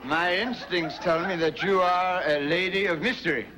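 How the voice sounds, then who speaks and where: An actor playing a pompous, showy Belgian detective in a sitcom, with a put-on Belgian accent, over studio audience laughter. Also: male voice, high-pitched, normal speed, a little hiss or hum, low-quality recording. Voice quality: fussy, grandiose formal phrasing. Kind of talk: sitcom acting, live audience. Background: loud audience laughter.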